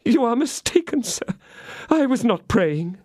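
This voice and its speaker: A man's voice: very high-pitched, very animated, a little slow, darkish tone, dry recording, clean studio sound, voice pushed up, heavily acted. A man voicing a scheming woman prisoner who pleads and prays in feigned, sobbing despair. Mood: pleading.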